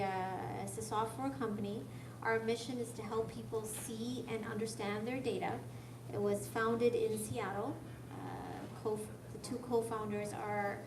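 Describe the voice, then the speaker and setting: A soft-spoken woman from Canada giving an informal lecture in a hesitant, conversational way, often posing questions. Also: a little high. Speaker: guest speaker, software development manager. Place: lecture room at a tech school. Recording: echoey room, distant mic, noisy background.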